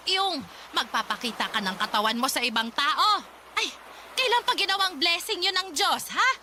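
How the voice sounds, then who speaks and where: Halting, sharp-voiced old Filipino grandmother. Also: sits high, lively intonation, faint music behind, full character voice. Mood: indignant pleading. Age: older. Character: poor, protective, devout old Filipino grandmother. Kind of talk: Tagalog radio drama acting.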